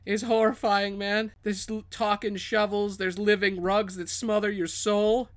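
A man's voice: high-pitched, little pitch movement, a little slow, a bit bright, voice pushed up. A man playing a panicky, foul-mouthed criminal woman in a tabletop game, slangy and exclamatory. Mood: panicked.

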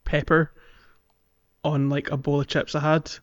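A man's voice: on the high side, monotone, measured pace, no echo. A man chatting casually and jokily as a gaming podcast co-host. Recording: slightly dull, lo-fi microphone.

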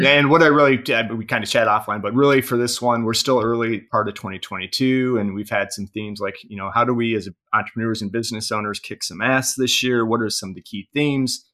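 Bright-voiced male podcast host. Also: mid-range voice, fairly even intonation, fairly quick, hardly any pauses. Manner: upbeat, joking. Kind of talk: casual interview chat.

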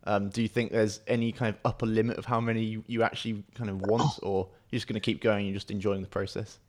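A man with a fairly low voice hosts the show. He asks questions with enthusiasm and encouragement, and often responds with short affirmations. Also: level tone, quick pace, a little mellow. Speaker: podcast host. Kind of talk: interview hosting.

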